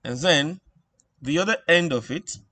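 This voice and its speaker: Slow-talking man teaching, halting. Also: a little high, pushed and bright, no room sound. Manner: halting, repeats phrases while working things out. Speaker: instructor. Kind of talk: informal electronics tutorial, thinking aloud.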